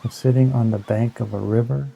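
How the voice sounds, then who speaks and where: A man leading a guided meditation in a slow, soothing, hypnotic manner, with long pauses between short phrases. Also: mid-range voice, fairly even intonation, gravelly, dark tone, a little hiss or hum, rough recording. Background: ambient new-age music with singing bowls.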